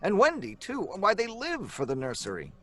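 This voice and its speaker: A man's voice: sits high, wildly swooping pitch, moderate pace, sharp tone, exaggerated voice. A man playing a reassuring father and husband in a live audio drama, with a soothing, paternal manner. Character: father George, reassuring then stern.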